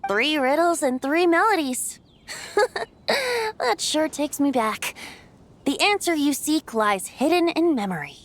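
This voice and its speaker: Video game bard, secretly a wind god: high-pitched, unhurried, teasing and theatrical, over music. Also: female voice, sing-song, exaggerated voice. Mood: fond, nostalgic. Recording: music behind the voice.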